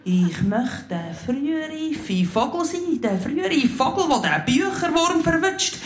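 A Swiss German woman performing spoken word on a live stage, toying with puns and letting her pitch jump high and drop low. She takes her time between phrases, and the sound is roomy with the stage around her. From Switzerland. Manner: playful, word-punning, swooping up and down in pitch. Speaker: slam poet. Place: stage before a live audience.

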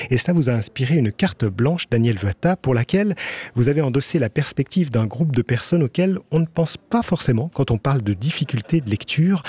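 Husky male radio host. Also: mid-range voice, warm tone, dry recording. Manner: polished, welcoming presenter. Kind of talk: scripted radio introduction.

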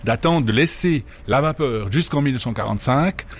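A man giving informal technical explanations, measured, precise and a little hesitant. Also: medium pitch, lively intonation, breathy edge.

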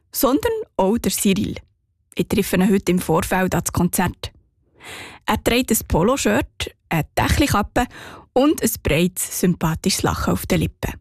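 A woman's voice: mid-range voice, no room sound, clean studio sound. A woman with a Swiss German accent narrating a scripted radio report.

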